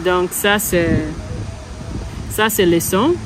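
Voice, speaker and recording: Slow, halting woman learner. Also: on the low side, music in the background, rough recording. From Ghana. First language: English. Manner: hesitant, halting, self-correcting. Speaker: French learner. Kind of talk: practice speaking exercise. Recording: steady background hum.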